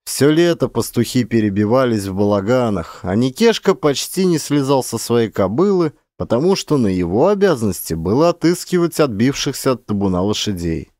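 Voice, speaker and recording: A man's voice: mid-range voice, sing-song, normal speed, hardly any pauses, a little mellow, no echo, clean studio sound. A man narrating a literary story as an audiobook actor, with dramatic, varied emphasis.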